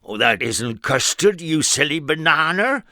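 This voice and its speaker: A man voicing an excitable, silly little storybook man in a raised, eager put-on voice. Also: on the high side, unhurried, dry recording, clean studio sound, pitched above the performer's own voice, full character voice. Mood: excited. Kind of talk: storybook reading.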